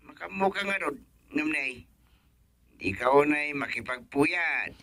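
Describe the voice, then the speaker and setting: A man's voice: on the high side, lively intonation, unhurried, bright, forceful tone, no room sound, heavily acted. A man playing a plain-spoken village husband in an Ilocano radio comedy drama, muttering with an Ilocano accent. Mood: matter-of-fact. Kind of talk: radio serial drama acting.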